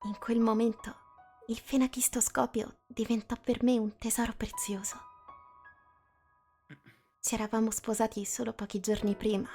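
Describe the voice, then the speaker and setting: A woman's voice: a little high, little pitch movement, normal speed, warm tone, soft background music. A woman reading a first-person story aloud in a live group reading, in a casual setting.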